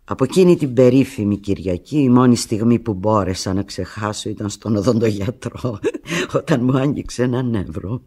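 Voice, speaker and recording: Radio play lead: heartbroken woman clinging to her lover by phone, husky, intense, fragmented delivery. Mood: wounded, resigned. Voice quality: low, husky. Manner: intense, fragmented, swinging from hushed to anguished. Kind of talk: radio drama monologue, one side of a phone call.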